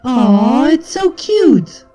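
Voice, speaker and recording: A man voicing a young female pony who is sweet, excitable and expressive, in a story reading. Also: extremely high pitch, extremely slow, pitched above the performer's own voice, heavily acted. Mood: delighted. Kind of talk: character voice in a fan fiction reading. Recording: music behind the voice.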